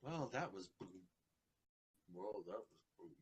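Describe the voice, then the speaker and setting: A man's voice: on the low side, animated, measured pace, breathy edge. A man hosting an online group call in casual chat, rambling and stammering, easily distracted.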